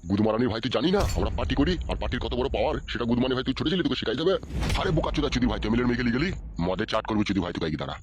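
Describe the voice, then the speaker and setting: Brief: menacing strongman played by a man, rapid insults, steady threat. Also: medium pitch, noisy background, lightly acted. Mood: threatening. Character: menacing male party henchman, crude and cocky.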